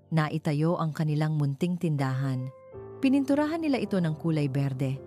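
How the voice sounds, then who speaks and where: Low, mellow Filipino woman storyteller. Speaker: narrator. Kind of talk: scripted storybook narration.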